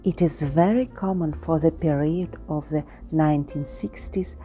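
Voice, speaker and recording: Low, mellow-voiced woman tutorial host. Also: normal speed. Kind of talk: tutorial voice-over with history. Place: indoor studio with painted backdrop. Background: soft background music.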